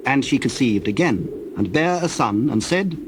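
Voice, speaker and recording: Mid-pitched man narrating scripture. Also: lively intonation. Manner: formal, measured storytelling. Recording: clear background noise, birdsong and doves.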